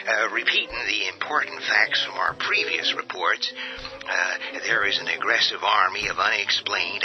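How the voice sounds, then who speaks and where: A man playing a grave president speaking to the nation in an old-time radio play, hushed and hesitant and full of "uh" pauses. His voice is low and very bright-edged. It comes through a dull, lo-fi radio sound with faint music behind. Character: halting, grave male president addressing the nation. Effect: radio broadcast sound.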